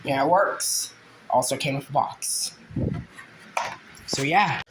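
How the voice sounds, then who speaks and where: A man giving a casual, halting show-and-tell, informal and often trailing off. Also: medium pitch, fairly bright tone. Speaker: hobbyist video creator. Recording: noisy home video with clicks.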